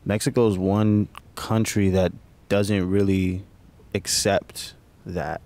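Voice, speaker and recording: A man from Tucson, co-hosting a podcast in relaxed casual chat, reacting with short slang words. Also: sits low, takes it slowly. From west side of Tucson, Arizona. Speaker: podcast co-host.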